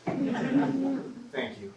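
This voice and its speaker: A man's voice: very high voice, huge pitch swings, very slow, dull audio, exaggerated voice. A man on stage playing a weary, practical Swiss soldier in a classic comedy, wry, polite and self-deprecating. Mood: grateful. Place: theatre stage, recorded from the audience. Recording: roomy, distant stage recording with hiss.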